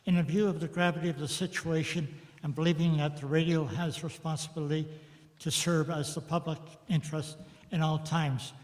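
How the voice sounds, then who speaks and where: A fairly high male voice carries through a reverberant hall. A man plays a formal executive delivering an official statement in a live radio play. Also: fairly even intonation, moderate pace, darkish tone, close to an everyday voice. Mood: solemn. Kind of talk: live stage radio drama acting. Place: live performance in a church.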